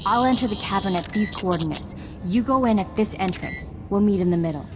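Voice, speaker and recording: A woman playing a composed, resolute Jedi master in a video game, speaking in a measured, earnest and authoritative way. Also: mid-range voice, noisy background, lightly acted. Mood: focused. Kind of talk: scripted video game acting. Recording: game music behind.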